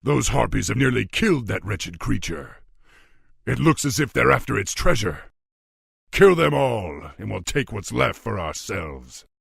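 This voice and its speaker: A man playing a stern, thoughtful young orc war chief in a fantasy video game, with a deep, gravelly, husky voice. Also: sing-song, measured pace, fairly bright tone, dry recording, studio quality, heavily acted. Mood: commanding.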